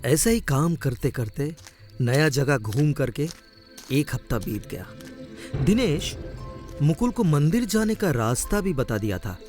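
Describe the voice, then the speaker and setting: Male story narrator: animated scripted delivery, expressive, with faint music underneath. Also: fairly high pitch, measured pace.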